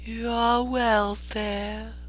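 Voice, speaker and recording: A man voicing a gentle, solemn, ageless Christmas spirit in a soft, airy voice, reading a storybook dramatically. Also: medium pitch, level tone, voice pushed up. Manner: slow, drawn-out, stately delivery.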